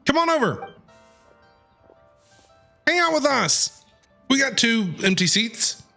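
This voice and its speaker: Bright, animated streamer reacting to a game. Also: medium pitch, takes it slowly, creaky, faint music behind. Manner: chatty, responds aloud to game characters. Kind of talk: casual live reaction commentary on a game.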